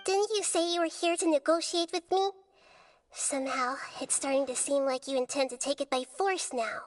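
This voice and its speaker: Woman playing a very high-voiced little wise goddess. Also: dry recording, close to an everyday voice. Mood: cool, challenging. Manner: measured, articulate, gently challenging. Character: small, wise young goddess, earnest and composed. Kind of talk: video game voice acting.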